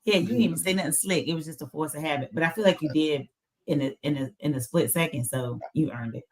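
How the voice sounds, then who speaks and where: A woman co-hosting a casual podcast, quick-witted and sassy, laughing often at the banter. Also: low voice. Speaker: podcast co-host. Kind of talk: casual chat.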